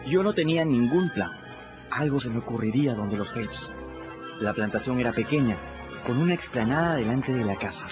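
An actor with a Peruvian Spanish accent playing a quick-witted young boy who tells his own story in a folksy first-person manner in a radio drama. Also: medium pitch, lively intonation, over music, lightly acted. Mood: storytelling. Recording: dull lo-fi radio recording.

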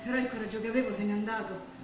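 A young actress with a Roman accent playing a gravely ill young woman, tender, fearful and despairing, in a stage drama. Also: medium pitch, steady tone, very fast, recorded from a distance, lightly acted. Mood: fearful pleading. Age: young adult. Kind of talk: naturalistic stage drama acting. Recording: very echoey theatre hall, faint noise.

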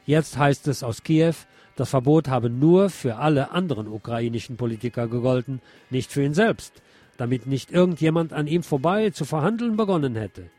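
A man's voice: mid-range voice, moderate pace, darkish tone, no echo, faint music behind. A man hosting a radio programme, reading press commentary and news aloud. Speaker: radio show host.

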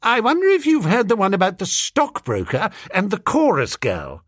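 A man's voice: high voice, wildly swooping pitch, normal speed, a bit bright, no room sound, studio quality, pitched above the performer's own voice, exaggerated voice. A man doing a bumbling, flustered young gent. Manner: stammering, dithering.

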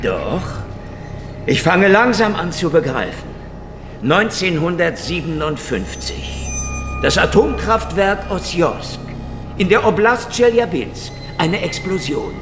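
An actor playing a hardboiled, sardonic and defiant Soviet secret police detective in a dramatic radio play. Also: male voice, sits high, sing-song, takes it slowly, full character voice. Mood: accusing, grim. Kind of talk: German radio drama acting with noir first-person narration. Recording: reverberant hall, loud music underneath.

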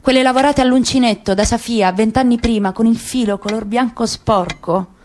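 A woman narrating a literary story for radio, slow and lingering with dramatic pauses. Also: a little high, darkish tone, slight background noise.

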